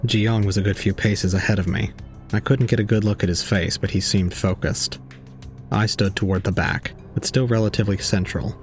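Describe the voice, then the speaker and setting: A deep-voiced, aloof young man, played by a male actor, narrates an audio drama with cold restraint. His wording is formal and detached, brooding over what he sees, with light music underneath. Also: fairly even intonation, normal speed, natural voice.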